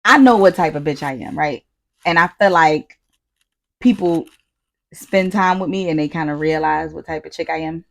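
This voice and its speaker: An American woman co-hosting a podcast, telling stories casually in slangy, profane, animated talk with quoted asides. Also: low-pitched, normal speed, gravelly. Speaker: podcast co-host. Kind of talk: casual chat, storytelling.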